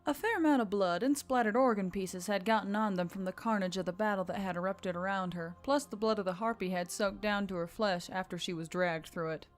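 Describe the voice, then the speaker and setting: A woman's voice: mid-range voice, fairly quick, few pauses. A woman reading scripted fiction as a story narrator. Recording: background music under the voice.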